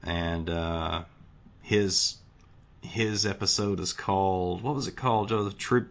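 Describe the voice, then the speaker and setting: Deep-voiced tired podcast host. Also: male voice, fairly even intonation, measured pace, vocal fry, dull audio. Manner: folksy, rambling with restarts. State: tired, late at night. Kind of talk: casual conversation.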